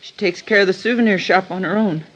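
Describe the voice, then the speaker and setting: An actress playing an anxious, guarded middle-aged lunchroom keeper in an old radio drama, with a halting delivery. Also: female voice, medium pitch, natural voice. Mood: uneasy, evasive. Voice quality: halting, stammering when nervous. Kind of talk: 1950s radio drama acting. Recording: muffled, noisy old radio recording.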